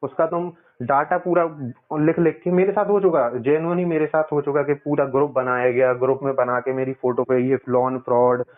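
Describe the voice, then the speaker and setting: A man's voice: mid-range voice, fairly even intonation, normal speed, hardly any pauses. A man on a casual phone call, talking insistently as a caller, explaining at length and repeating himself. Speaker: caller, loan customer. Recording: tinny, narrow-band phone line.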